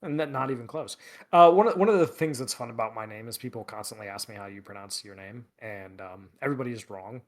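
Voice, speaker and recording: A man's voice: medium pitch, quick pace. Rambling male podcast co-host. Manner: rambling, hesitant, filler-heavy. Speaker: editor-in-chief, podcast co-host. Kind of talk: casual podcast chat.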